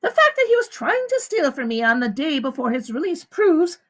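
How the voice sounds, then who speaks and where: A woman reading aloud, voicing a defensive, lying camp warden in a high, indignant voice. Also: very animated, moderate pace, a bit bright.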